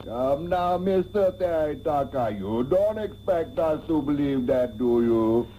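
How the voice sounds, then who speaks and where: A man's voice: on the high side, animated, measured pace. A man playing a mock-sinister, taunting interrogator in a comedic radio serial, theatrical and menacing. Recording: dull, faintly noisy radio tape.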